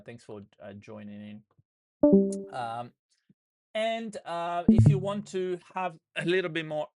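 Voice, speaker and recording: A man with an Australian accent, hoarse and losing his voice, walking viewers through trades in a loose live session full of fillers and restarts. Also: medium pitch. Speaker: trading educator. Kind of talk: live trading session walkthrough.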